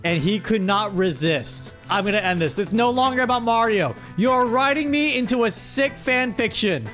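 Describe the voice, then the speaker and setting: A high-voiced man hosts a stream in a casual, comedic way. He sounds exasperated and playfully scolds his viewers as he reads their words aloud, with faint music underneath. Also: animated, normal speed, a bit bright. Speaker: streamer host.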